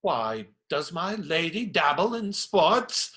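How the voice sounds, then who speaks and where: Radio play actor as sympathetic friar: crisp bright tone, very varied intonation, polite and attentive. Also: male voice, a little high, very animated, slow pace, exaggerated voice. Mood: friendly curiosity. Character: courteous, sympathetic friar, gently probing and flattering.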